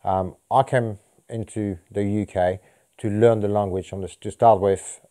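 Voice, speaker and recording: A man's voice: fairly low pitch, steady tone, measured pace, dark tone. A middle-aged man from France with a French accent, giving a personal account as a documentary interviewee. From Brest, France. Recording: guitar music behind the voice. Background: guitar music.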